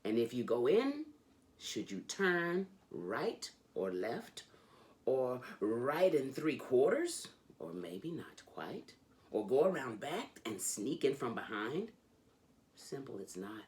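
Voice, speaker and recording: A woman with a strikingly low voice reads a storybook aloud for children. She takes her time, going slowly through the lines. Her delivery is animated and sing-song, with lively rises and falls in pitch as she hosts the read-along.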